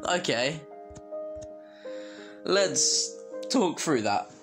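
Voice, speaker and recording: A fan fiction reader talking informally and earnestly to listeners, in a halting way. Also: mid-range voice, big pitch swings, over music. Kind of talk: informal reflective talk to listeners.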